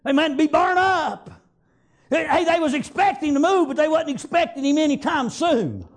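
A man's voice: extremely high pitch, expressive, normal speed. A man with a Southern US accent preaching a fervent, folksy sermon off the cuff, exclaiming as he retells scripture. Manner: folksy, exclamatory, repeats praise God and amen as punctuation. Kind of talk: fervent extemporaneous sermon with Bible reading and retelling.

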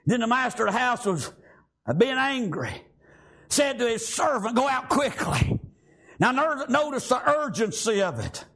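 A man preaching a fiery revival sermon in a church, shouting and dotting his lines with "praise God" and "amen.". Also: extremely high pitch, sing-song, normal speed.

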